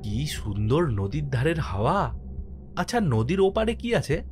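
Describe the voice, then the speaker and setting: A man acts out an easygoing village postmaster telling his own ghost story in a horror cartoon. His voice is fairly high and very lively, with dramatic, expressive delivery. Music plays under him. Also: a little slow. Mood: relaxed, friendly. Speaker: first-person narrator and lead character.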